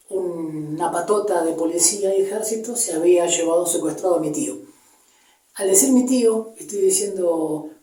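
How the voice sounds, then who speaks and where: An Argentine man in his fifties speaks in a dark, relaxed tone as he gives a personal testimony. He is reflective and halting, often searching for the next word. The microphone sits at some distance in a roomy, echoing space. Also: sits high. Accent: Argentine, Buenos Aires area. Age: 50s. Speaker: interviewee giving personal testimony. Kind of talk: personal storytelling, unscripted recollection. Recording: echoey room, distant microphone.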